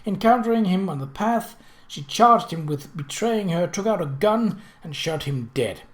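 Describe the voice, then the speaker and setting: Expressive mid-pitched audiobook narrator. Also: moderate pace. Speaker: audiobook reader. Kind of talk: scripted narration of a period mystery novel.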